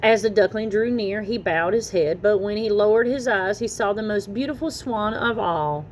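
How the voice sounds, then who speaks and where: Female storyteller, medium pitch, animated read-aloud for young children, noticeable background noise. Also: moderate pace, poor audio. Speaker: children's story reader and channel host.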